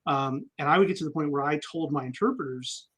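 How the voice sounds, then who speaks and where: Army vet guy chatting in an interview, kinda high voice, lots of ums, thinks out loud. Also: quick pace, breathy edge. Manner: candid, reflective storytelling with frequent ums. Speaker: army veteran guest. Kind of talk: casual interview conversation.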